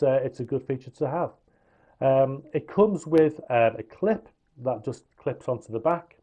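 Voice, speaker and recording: A man's voice: mid-range voice, warm tone. A man giving an informal product review and demonstration, explaining features in a matter-of-fact way. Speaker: radio gear reviewer.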